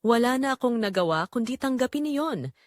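Female Filipino narrator for first-person audio drama, confessional, lively intonation, mid-pitched, very dry recording. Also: moderate pace, no echo. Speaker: narrator telling the story as its main character.

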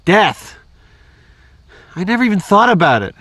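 A husky, hesitant young follower. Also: male voice, high-pitched, sing-song, a bit bright, no echo, heavily acted. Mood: shaken. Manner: hesitant, stammering, halting. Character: unsure, self-doubting young man, a timid follower. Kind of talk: post-apocalyptic audio drama acting.

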